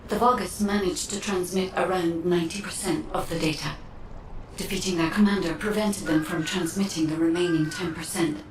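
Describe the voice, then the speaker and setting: A woman's voice: fairly low pitch, steady tone, moderate pace, lightly acted. An actress playing a grave, formal female informant in a video game, explaining things carefully, with an echoey, distant, noisy sound. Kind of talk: scripted game dialogue.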